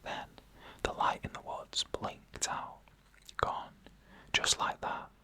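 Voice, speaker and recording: A narrator reading scary stories in a hushed ASMR whisper, with slow, deliberate phrasing and pauses. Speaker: narrator and host of a scary story show.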